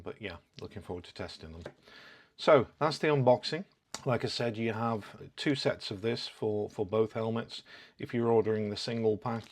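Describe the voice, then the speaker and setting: A man presenting a product in a casual, informal way, explaining things step by step as he demonstrates. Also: on the low side. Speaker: product review host. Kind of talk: casual unboxing and fitting demonstration.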